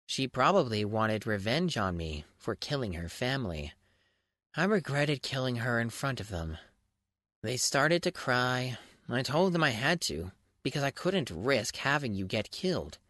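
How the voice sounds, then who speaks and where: A man's voice: mid-range voice, a bit bright, dry recording, clean studio sound. A man reading a scripted first-person story aloud as a dramatic, mock-grand audiobook narrator. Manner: theatrical, mock-grand delivery. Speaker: fan fiction audiobook narrator.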